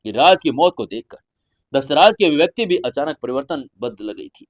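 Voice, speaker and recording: An Indian man reads a scripted fantasy audio story in a theatrical, deliberate storytelling manner. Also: on the high side, lively intonation, dry recording, clean studio sound. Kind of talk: dramatic scripted fantasy narration.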